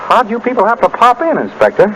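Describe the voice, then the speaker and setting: A man's voice: very high-pitched, wildly swooping pitch, fast, exaggerated voice. A man playing a suave, wisecracking retired detective husband with dry, unruffled delivery in a 1930s radio drama. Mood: flippant. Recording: old radio recording with background hiss.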